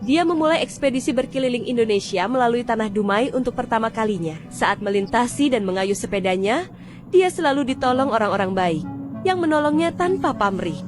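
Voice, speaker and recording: A woman reading a scripted news-style narration in a steady storytelling manner. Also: a little high, fast. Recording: voice-over with music bed. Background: background music.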